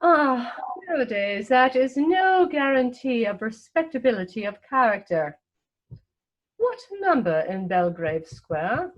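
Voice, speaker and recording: A woman playing a haughty, snobbish older aristocratic lady in a comic play reading, imperious and pompous, with deliberate enunciation. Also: on the high side, big pitch swings, muffled recording. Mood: imperious, probing.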